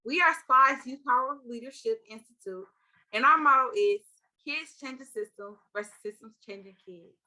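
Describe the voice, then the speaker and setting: Bright, upbeat teenage girl. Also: sits high, normal speed, low-quality recording. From Milwaukee, Wisconsin. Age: teens. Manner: energetic, upbeat. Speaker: youth leader presenter. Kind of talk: scripted youth talk.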